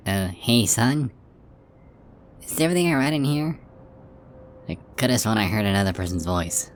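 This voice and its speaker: A man plays a middle-aged vampire father in an ASMR roleplay. His voice is low, with a hesitant, caring, mildly stern parental manner, over faint background noise. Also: lively intonation, moderate pace, lightly acted. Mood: suspicious. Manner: hesitant, gently reassuring, parental.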